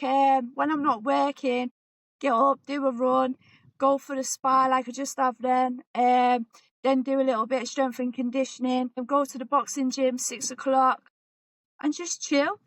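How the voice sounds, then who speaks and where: A woman in her forties, a boxer talking as a guest in a relaxed, chatty way and often repeating herself. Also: sits high, dry recording, poor audio. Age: 40s. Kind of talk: casual interview chat.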